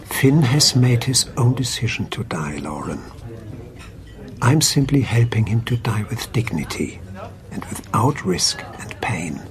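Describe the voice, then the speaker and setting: An actor playing a calm, principled doctor in a radio play, speaking in a measured, formal, explanatory way. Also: male voice, lowish voice, a crowd in the background. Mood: firm, quiet. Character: calm, principled male doctor at an assisted-dying clinic.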